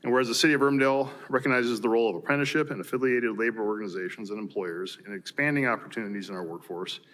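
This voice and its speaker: A man's voice: medium pitch, very fast, a bit bright. A man with an American accent, a mayor formally reading proclamations at a council meeting. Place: city council chamber.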